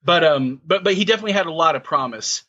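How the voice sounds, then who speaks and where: Guy in his 30s on a podcast, bright kinda tense voice, lots of ums, dull lo-fi sound. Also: on the high side, lively intonation, quick pace. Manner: hesitant, many fillers and restarts. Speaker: podcast co-host. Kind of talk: casual chat.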